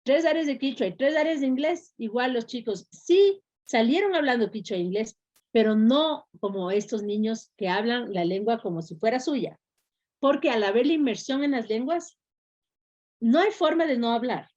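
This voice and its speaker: Older woman, fairly high voice, steady explanatory tone. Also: normal speed. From Quito, Ecuador. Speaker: educator and school founder. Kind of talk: interview answers. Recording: muffled lo-fi recording.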